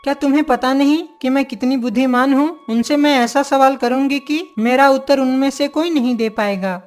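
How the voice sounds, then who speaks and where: A woman's voice: sits high, normal speed, a little mellow, soft background music, lightly acted. A woman voicing a haughty, clever young princess in a Hindi cartoon story, with an imperious manner. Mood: boastful.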